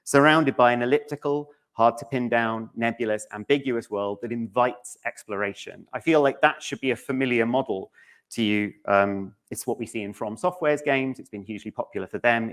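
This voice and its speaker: A man speaking to an audience at a conference, conversational, with wry, casual asides. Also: lowish voice. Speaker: conference speaker, game studio narrative director. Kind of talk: public conference talk, conversational. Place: conference room in front of an audience.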